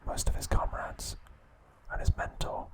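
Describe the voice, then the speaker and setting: A man whispering an ASMR recap of trivia, pausing now and then to correct himself. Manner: slow soft whisper, occasional self-corrections. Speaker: ASMR host.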